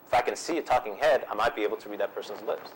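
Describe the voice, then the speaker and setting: Guy presenter in a seminar room, lowish voice, easygoing, lots of ums, slight hiss. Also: rapid-fire, low-quality recording. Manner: easygoing, repeats himself with ums. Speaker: workshop presenter. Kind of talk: answering audience questions.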